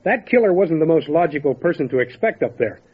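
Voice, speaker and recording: A calm county lawman in an old-time radio horror drama. He questions people in a measured, serious way, with a voice a little higher than most men's. The recording is dull and lo-fi. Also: slightly rough. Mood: steady, pensive. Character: calm, methodical small-town county lawman investigating a death. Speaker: voice actor.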